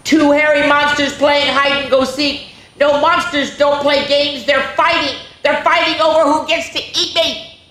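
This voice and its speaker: A woman's voice: sits high, little pitch movement, a little slow, bright, forceful tone, echoey room, pitched above the performer's own voice, low-quality recording. A woman voicing a frightened, dramatic young schoolboy from a storybook, with exclaiming, breathless delivery. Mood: scared, urgent.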